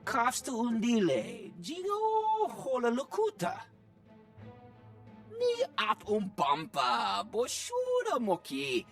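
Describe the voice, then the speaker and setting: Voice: medium pitch, huge pitch swings, takes it slowly, gravelly, a bit bright, exaggerated voice. An actor voicing an alien who chatters in an invented alien tongue for a video game. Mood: animated. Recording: background music.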